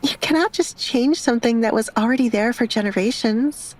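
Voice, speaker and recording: A woman playing a reserved, polite young bride in an audio romance drama, soft-spoken and weary. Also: on the high side, fairly quick, a little hiss or hum, voice pushed up, natural voice, low-quality recording. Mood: demure, matter-of-fact.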